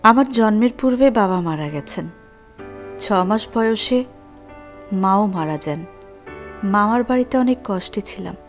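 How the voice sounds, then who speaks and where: A woman's voice: mid-range voice, animated, a little slow, pauses often, faint music behind. A woman playing a naive, shy young orphaned bride with a plaintive manner in an audio drama. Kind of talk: Bengali audio drama acting.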